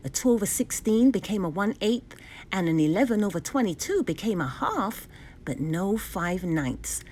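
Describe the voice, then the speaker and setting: A woman narrates a children's storybook aloud. Her delivery is highly animated, with clear enunciation and dramatic emphasis on key words, at a middle pitch. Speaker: storybook reader. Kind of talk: expressive scripted read-aloud for children.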